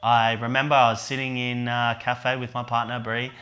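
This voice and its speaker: A man's voice: mid-range voice, little pitch movement, moderate pace, a little hiss or hum. A man, an event organiser and men's coach, talking earnestly and reflectively in a documentary interview. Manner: soft-spoken, earnest, with fillers.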